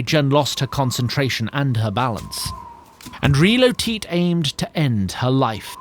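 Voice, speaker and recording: A man narrating a fantasy audio drama as a measured, wry storyteller who addresses the listener directly. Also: medium pitch, slight background noise. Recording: music bed under narration.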